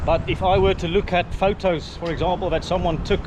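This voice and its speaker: A man's voice: high voice, fairly even intonation, a little fast. A South African man in his thirties, an Afrikaans speaker, chatting and musing aloud as he walks a sunny street and films himself at arm's length. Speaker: travel vlogger. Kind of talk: walking tour commentary. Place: outdoors on a sunny suburban street. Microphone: handheld camera at arm's length. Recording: loud street traffic noise.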